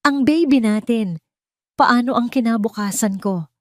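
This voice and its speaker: A woman's voice: on the high side, a little slow, dry recording, clean studio sound, pitched above the performer's own voice, close to an everyday voice. A woman voicing a panicking, desperate mistress who pleads in an audio story.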